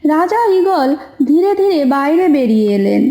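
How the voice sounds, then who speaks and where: A woman's voice: sits high, big pitch swings, normal speed, warm tone. A woman narrating a scripted children's cartoon story. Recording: roomy echo, faint music.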